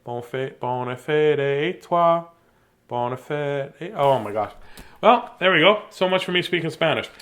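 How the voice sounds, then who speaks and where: Playful, higher-pitched man reading bedtime stories. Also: lively intonation, unhurried, fairly bright tone. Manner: playful, silly, rambling, self-deprecating. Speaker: children's story reader, host. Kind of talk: casual livestream chat and bedtime story reading.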